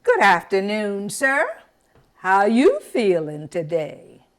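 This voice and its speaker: Woman doing a sly, oily buzzard gentleman. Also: medium pitch, huge pitch swings, slow. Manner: smooth, courtly and sneaky. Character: sly predatory male buzzard, falsely polite.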